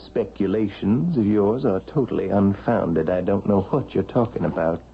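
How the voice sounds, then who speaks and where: Gravelly, slippery radio-drama lawyer. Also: male voice, on the low side. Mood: evasive, guarded. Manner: measured, evasive, politely condescending. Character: smooth-talking, evasive lawyer son-in-law, self-made and slippery. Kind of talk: vintage radio drama acting. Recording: dull vintage radio broadcast, faint noise.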